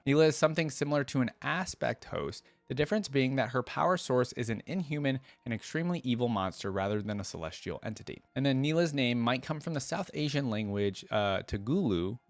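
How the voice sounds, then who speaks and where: A man's voice: mid-range voice, dry recording. A man hosting a reaction video, musing aloud and addressing viewers in casual, conversational commentary.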